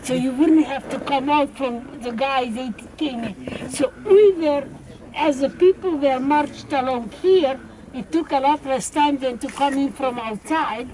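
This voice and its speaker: A woman's voice: high voice, measured pace, dark tone, rough recording. An elderly woman in her eighties from Hungary, outdoors, talking through events and filling in others' words. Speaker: survivor who also questions. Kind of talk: conversational testimony. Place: outdoors at a memorial site.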